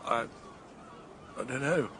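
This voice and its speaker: A boastful, bright-voiced young toff. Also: male voice, mid-range voice, takes it slowly, a little hiss or hum. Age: young adult. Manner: boastful, laddish. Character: boastful, dim young upper-class Englishman. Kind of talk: comic radio drama acting.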